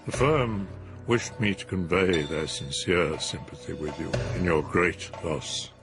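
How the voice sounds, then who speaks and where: A deep-voiced man reading aloud and voicing a grave, uneasy messenger in a spooky story, speaking slowly and formally. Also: faint music behind. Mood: solemn. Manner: hushed, slow and formal.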